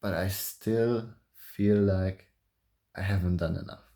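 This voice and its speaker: A man in his early twenties speaking softly and calmly in a halting, hesitant way, thinking aloud in a personal confessional vlog. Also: low voice. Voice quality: soft voice. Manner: calm, halting, hesitant with long pauses. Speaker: vlogger.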